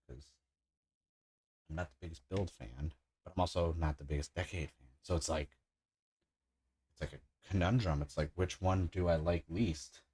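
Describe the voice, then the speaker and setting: Very deep-voiced man in his thirties. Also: darkish tone, husky. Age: 30s. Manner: rambling, enthusiastic, frequent "like" fillers. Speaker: streamer building a model kit. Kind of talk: casual livestream chat while building.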